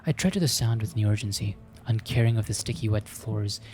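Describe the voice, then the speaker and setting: A man's voice: lowish voice, fairly quick, darkish tone, faint music behind. A man reading a visual novel aloud as host, with theatrical narration and joking asides.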